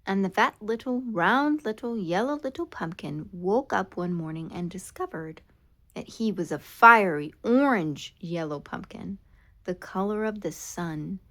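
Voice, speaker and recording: Woman, children's storytime narrator, unhurried, lively pitch, dramatic, expressive. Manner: dramatic, expressive read-aloud with drawn-out words. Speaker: storybook reader.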